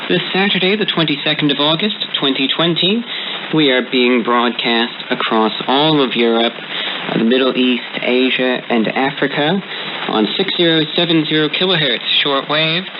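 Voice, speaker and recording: Male radio host, casual and measured, mid-pitched voice, muffled shortwave reception buried in loud static. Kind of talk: casual listener shout-outs on a radio show.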